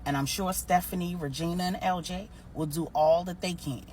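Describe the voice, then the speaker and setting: Older matriarch for an audio story, played by a female storyteller: proud, firm, folksy, low-set voice. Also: steady tone, darkish tone, slight background noise, natural voice. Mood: reassuring. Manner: blunt, folksy, measured.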